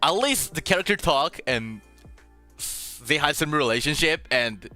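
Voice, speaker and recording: A man hosting a casual streamed chat, halting as he searches for words in a language that is not his native Japanese, sometimes switching to Spanish. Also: fairly high pitch, lively intonation, bright, forceful tone. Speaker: host of a streamed radio show. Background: music.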